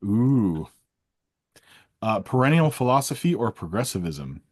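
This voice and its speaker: A man hosting a casual interview, reading out rapid either-or questions in a playful, teasing way. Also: on the low side, very animated, darkish tone. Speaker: interviewer, host.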